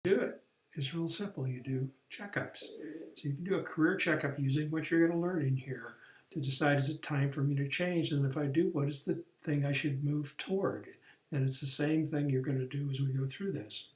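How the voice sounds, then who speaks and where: A man's voice: fairly high pitch, steady tone, moderate pace, echoey room. A man explaining a career course in a plain, step-by-step way, with some restarts and fillers. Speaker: career coach. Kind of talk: recorded online training lesson.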